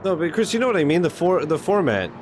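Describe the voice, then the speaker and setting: A man's voice: fairly high pitch, big pitch swings, quick pace. A man streaming his reactions to game cutscenes in casual, joking chat, swearing freely. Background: video game cutscene audio and music.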